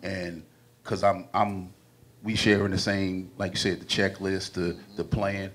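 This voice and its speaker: A man's voice: sits low, little pitch movement, unhurried, a little hiss or hum. A man on a panel, earnest and building long lists as he talks, on a handheld mic. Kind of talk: casual panel interview.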